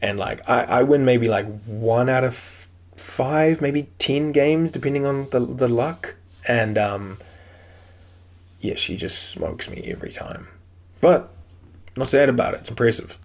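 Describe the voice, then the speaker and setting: A man's voice: mid-range voice, unhurried. Joking young man with a New Zealand accent. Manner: jokey, riffs between reading lines. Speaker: gaming YouTuber. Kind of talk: reading game dialogue aloud with casual commentary.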